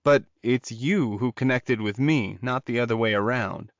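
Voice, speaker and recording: A man's voice: medium pitch, moderate pace, no room sound, muffled recording, lightly acted. A man voicing a commanding, composed male werewolf alpha in an audiobook, explaining things firmly.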